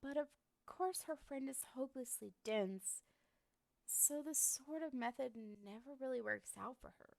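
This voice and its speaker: A woman's voice: high-pitched, normal speed, creaky, a little mellow, no room sound. A woman playing a lovestruck, obsessive young woman in an ASMR roleplay, talking to the listener in a coy, rambling, hesitant way. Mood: lovesick, exasperated.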